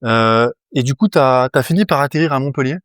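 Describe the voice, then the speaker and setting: A man's voice: mid-range voice, brisk, a bit bright, no room sound. Polite male interviewer, dry close sound. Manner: polite, prompting, stammers on restarts. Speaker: interviewer, host. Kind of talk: interview questions.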